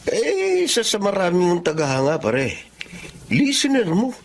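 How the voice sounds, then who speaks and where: A Filipino man acting in a radio drama as an eager, flattering buddy of a playboy. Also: high voice, measured pace, creaky, slight background noise, heavily acted. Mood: eager, admiring. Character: chummy, admiring male sidekick of a playboy.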